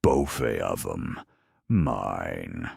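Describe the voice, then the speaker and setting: Man doing a huge, flat, gravelly ork warboss. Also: rumbling low, extremely slow, dry recording, clean studio sound, voice pushed down, exaggerated voice. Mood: sullen, menacing. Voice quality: flat, enormous, hollow drainpipe voice.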